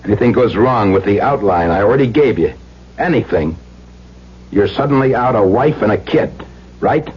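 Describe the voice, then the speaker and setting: An actor playing a cold, taunting criminal on the run, talking tough, domineering slang in an old-time radio drama. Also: male voice, fairly low pitch, lively intonation, moderate pace, slightly rough. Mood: menacing. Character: menacing armed fugitive criminal, cold and taunting. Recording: muffled radio recording with hum and noise.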